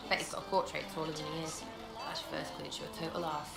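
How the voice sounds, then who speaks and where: A woman's voice: low-pitched, steady tone. A sardonic young elf archer fires off blunt, mocking replies in a Fereldan accent. Music plays beneath her in a roomy game mix. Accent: Fereldan (British-style). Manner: blunt, mocking, quick retorts.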